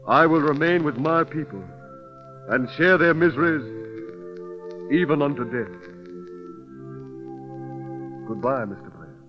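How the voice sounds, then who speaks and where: An actor playing a grave, honorable army colonel torn by anguish, solemn and earnest in old-time radio drama style. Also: male voice, fairly high pitch, expressive, unhurried, long pauses. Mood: resolute, solemn. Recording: dull vintage radio recording, faint music.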